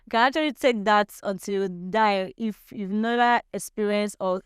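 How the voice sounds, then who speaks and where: A woman's voice: mid-range voice, steady tone, normal speed, clear, resonant tone, no room sound, studio quality. A young woman from Nigeria with a Nigerian accent, chatting casually and a little argumentatively as an interview guest. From Nigeria, grew up in Lagos.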